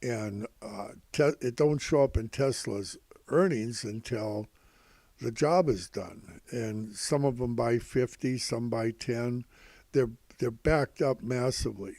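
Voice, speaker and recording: An older man giving informal, rambling financial commentary in a halting, repetitive, folksy way, calling himself Grandpa. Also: mid-range voice, darkish tone, no room sound. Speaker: host of stock prediction videos.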